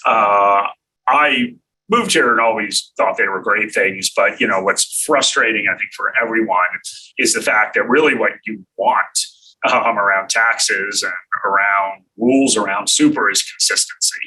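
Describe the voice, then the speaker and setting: An American man hosting a casual live stream about money, with a low, very bright voice that has a husky edge. He talks in a relaxed way, thinking aloud and filling pauses with ums and uhs, and sits a little back from the mic. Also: recorded from a distance, poor audio. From United States. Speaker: host answering viewer questions.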